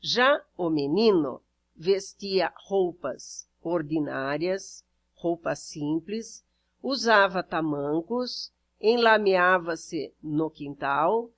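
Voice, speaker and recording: A woman teacher with a Brazilian Portuguese accent who reads out workbook questions and explains each answer step by step. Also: on the low side. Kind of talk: reading out and correcting a workbook exercise.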